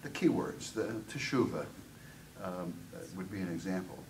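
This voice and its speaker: Male audience member, low voice picked up from afar in a noisy room, discursive and full of asides. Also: animated, normal speed. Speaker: audience member, college tutor. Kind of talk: commenting from the floor at a seminar. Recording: distant mic, noisy room.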